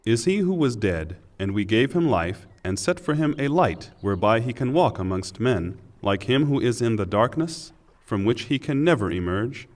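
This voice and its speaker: A man narrating a scripted scripture translation in a measured, formal and solemn manner. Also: medium pitch, very animated. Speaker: narrator reading Quran translation.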